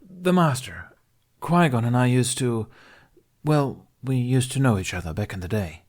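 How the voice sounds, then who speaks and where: A narrator, a man, plays a patient male teacher in a science fiction story, pitched up from his narrating voice. He talks politely and conversationally, with a wry, mildly exasperated edge. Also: lively intonation, a little slow, darkish tone. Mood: curious, businesslike. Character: tall, genial, wryly patient male mentor.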